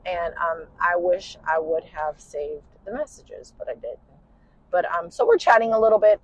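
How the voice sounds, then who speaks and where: A tired woman with a husky, smoky voice tells a personal story in casual chat while driving in a car at night. She rambles and uses lots of "you know" fillers. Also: on the low side, animated, moderate pace, slight background noise, low-quality recording. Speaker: podcast host.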